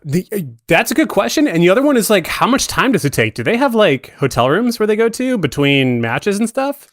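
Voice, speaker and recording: A man's voice: sits high, sing-song, fairly quick, no echo. Man in casual, dry-miked chat. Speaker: podcast guest. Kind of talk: casual film discussion.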